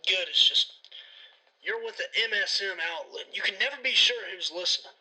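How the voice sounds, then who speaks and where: A man's voice: high voice, animated, a little fast, bright, forceful tone, husky, exaggerated voice, rough recording. An actor playing a defiant, paranoid male conspiracy-theorist host in a radio drama, with a folksy, suspicious, ranting manner. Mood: wary. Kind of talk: radio drama phone interview.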